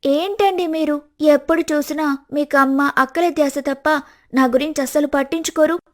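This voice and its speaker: A woman's voice: high voice, fairly even intonation, normal speed, close to an everyday voice. A woman voicing a selfish, scheming young wife in a cartoon story, whiny and spiteful. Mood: complaining.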